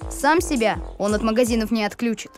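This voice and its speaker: A woman voicing a sensible, earnest young boy in a cartoon, calm and reasoning. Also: on the high side, a little fast, faint music behind, lightly acted. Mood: concerned. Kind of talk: animated series voice acting.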